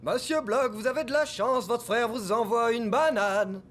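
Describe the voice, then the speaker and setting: A man playing a goofy, self-important cartoon delivery courier with an officious, drawn-out way of talking. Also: very high voice, a bit bright. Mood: officious cheer. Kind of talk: animated series French dub acting.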